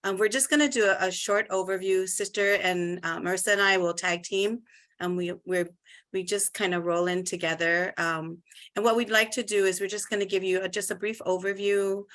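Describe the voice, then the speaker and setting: Woman facilitator from Hawaii, mid-pitched. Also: fairly even intonation, normal speed, a bit bright. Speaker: facilitator, cultural practitioner. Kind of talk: workshop welcome and overview. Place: video call.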